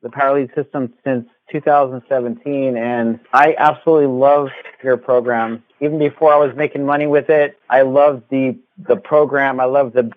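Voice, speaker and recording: A man phoning in an enthusiastic, halting, unscripted testimonial as a member. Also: mid-range voice, no echo. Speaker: caller giving a member testimonial. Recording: tinny phone call.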